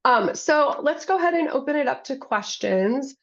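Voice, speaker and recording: Hoarse woman, webinar moderator, lots of ums, dull lo-fi call audio. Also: sits high, expressive, normal speed. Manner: frequent ums, conversational and apologetic. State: hoarse from a cold. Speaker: webinar moderator for a state health program. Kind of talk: hosting a webinar Q&A.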